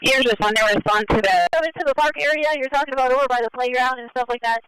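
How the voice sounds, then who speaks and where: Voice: high-pitched, quick pace, a bit bright, smooth, no echo, rough recording. A voice speaking over police radio, heard on a scanner.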